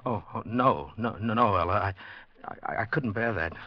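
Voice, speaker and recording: A man's voice: on the low side, moderate pace, no echo, lightly acted. An actor playing an anxious lovelorn man in a radio drama, earnest, stammering and pleading, in 1940s radio style. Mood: pleading, distressed. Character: anxious lovelorn man trapped among sinister secret dwellers. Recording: dull vintage radio recording with music.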